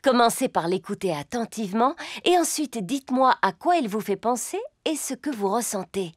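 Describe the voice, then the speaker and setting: Cast a woman as a gentle gazelle teacher, high, lively, over music. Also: normal speed, close to an everyday voice. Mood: patient. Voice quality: patient, instructive. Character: kindly gazelle schoolteacher, patient and gentle. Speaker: voice actor. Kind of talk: French cartoon dub. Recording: music bed under dialogue.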